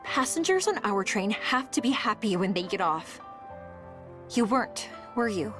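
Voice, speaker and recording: An actress voicing an earnest, insistent young train crew member in an anime-style game, pleading and emphatic. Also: female voice, mid-range voice, big pitch swings, moderate pace, over music, close to an everyday voice. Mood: earnest insistence.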